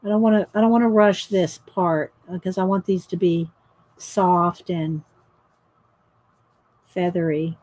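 A woman's voice: lowish voice, fairly even intonation, a little slow, pauses often, a little mellow. A middle-aged woman, chatting and laughing often as she tells a story while painting. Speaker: painting vlogger. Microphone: overhead camera mic, speaker off screen.

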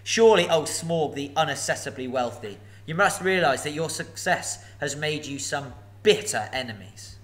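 A man voicing a small, frightened hobbit who answers a dragon with nervous, eager flattery in a lifted voice. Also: moderate pace, hardly any pauses. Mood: defiant. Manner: higher, eager and flattering, voice lifting.

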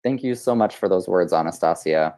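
Male host, thirties, dark relaxed low voice, scripted event introduction. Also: brisk, no room sound, clean studio sound. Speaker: host and curator. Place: indoor room, video call.

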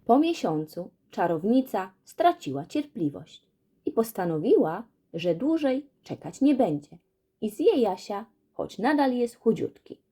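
A woman narrating a children's fairy tale as a storyteller, in an expressive scripted reading. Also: medium pitch, a little slow, husky.

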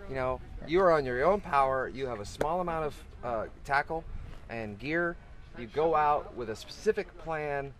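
A man's voice: a little high, expressive, normal speed, a bit bright. Enthusiastic man talking outdoors on a beach. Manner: enthusiastic, rambling, lots of restarts. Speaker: tournament organizer. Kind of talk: casual interview talk. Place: outdoors on a beach, under a cart canopy.

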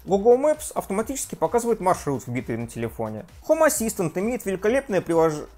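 Man in his 30s, tech vlog host, animated delivery, slightly high voice, soft music under. Reads a script at home. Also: fast. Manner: lively, self-mocking, trips on pronunciation. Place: home room with desk and gear.

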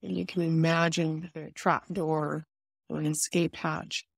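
Female meditation guide, lowish pitch, calm instructional delivery with gentle pauses, very dry sound. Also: vocal fry, no room sound. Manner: soothing, unhurried, instructive with pauses.

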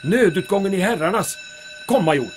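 An actor playing a dry, unflappable officer in an audio drama, formal, wordy and archly ironic. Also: male voice, high voice, animated, soft background music. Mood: composed. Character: dry, unflappable, courteous male officer with arch irony.

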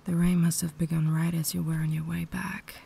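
A woman playing a cultured, caring vampire lady in an intimate ASMR roleplay, whispering softly with refined, gentle phrasing. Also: deep voice, little pitch movement, brisk, heavily acted. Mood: caring, gracious. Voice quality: soft, breathy whisper. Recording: noticeable background ambience noise.

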